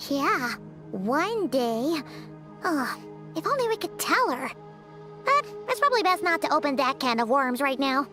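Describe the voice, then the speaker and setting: A woman's voice: sing-song, a little slow, pushed and bright, full character voice. A woman voicing a small, childlike fairy companion in a video game, chirpy, curious and earnest. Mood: curious, thoughtful. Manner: refers to self in third person, squeaky and earnest. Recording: music playing behind.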